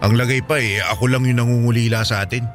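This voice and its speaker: A man's voice: medium pitch, fairly even intonation, fast talker, natural voice. Actor as pleading homesick Filipino seaman, music behind. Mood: hurt, protesting. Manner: hesitant, pleading. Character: homesick young seaman husband, anxious and pleading. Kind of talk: radio drama dialogue. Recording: music bed under the voice.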